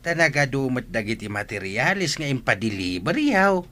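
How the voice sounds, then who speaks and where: A man's voice: medium pitch, very animated, moderate pace, sharp tone. A man acting a kindly, helpful older father in an audio drama. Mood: warm, reassuring.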